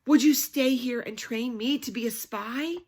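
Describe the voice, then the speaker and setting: A woman's voice: a little high, measured pace, a little mellow. A woman voicing a dramatic, bargaining young girl in a children's book, pleading and emphatic. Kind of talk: children's book read-aloud.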